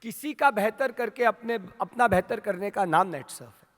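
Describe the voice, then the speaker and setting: A man with an Indian accent giving a rousing motivational speech to his company's sales team, asking the crowd questions and building to shouts. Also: sits high, animated, normal speed, slight background noise. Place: large hall at a training event. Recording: long hall reverb.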